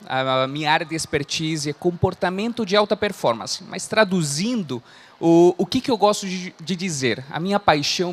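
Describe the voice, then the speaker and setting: A man in his thirties from Chile, speaking Portuguese with a Chilean Spanish accent, giving an earnest motivational talk as a coach. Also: high-pitched. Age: 30s. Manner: earnest, frequent "né?" tags. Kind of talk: motivational talk to a live audience.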